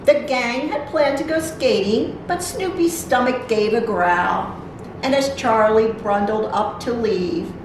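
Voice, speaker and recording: A woman reading a picture book aloud to young children, adding simple explanatory asides as a library storytime reader. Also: medium pitch. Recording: very echoey room, distant mic, noisy.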